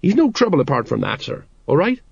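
A man's voice: a little high, very animated, fast talker, creaky, darkish tone, slightly rough, no room sound, muffled recording, pitched above the performer's own voice, exaggerated voice. A man voicing an anxious, deferential middle-aged police sergeant who is hesitant and pleading, in a comic audiobook. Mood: urgent warning. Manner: hesitant, pleading, urgent, peppered with sir.

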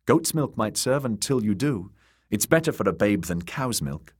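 A man's voice: on the low side, big pitch swings, moderate pace, breathy edge, pitched above the performer's own voice. A man voicing a timid, earnest young male scholar in an audiobook, hesitant and deferential in manner. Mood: nervous, eager. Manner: hesitant, nervous, stressing his words.

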